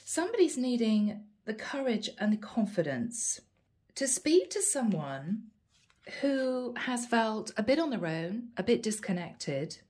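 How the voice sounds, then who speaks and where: A woman with a head cold giving a tarot card reading, musing softly and thinking aloud as she goes. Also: on the high side, big pitch swings, measured pace. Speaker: tarot reader.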